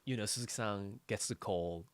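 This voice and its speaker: A man with a low voice, co-hosting a podcast in a dry, close recording. He chats casually in halting bursts, self-deprecating and quick to repeat his agreement. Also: no room sound. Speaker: podcast co-host. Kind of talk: casual chat about manga.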